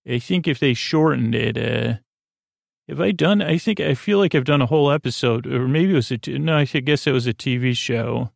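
A man hosting a bedtime podcast in a meandering, halting monologue, often repeating himself and backtracking mid-thought, with a gravelly voice. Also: medium pitch, no echo, clean studio sound. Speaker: podcast host.

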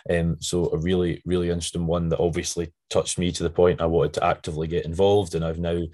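Male podcast host, Scottish, notably low and a bit croaky, informal and prone to tangents. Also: little pitch movement, fast talker, dark tone. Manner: chatty, rambling with frequent tangents. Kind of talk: casual podcast introduction.

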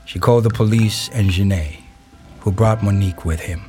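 A man narrates a documentary-style audio play from a script. His voice sits on the low side, and music is clearly heard behind him. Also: moderate pace.

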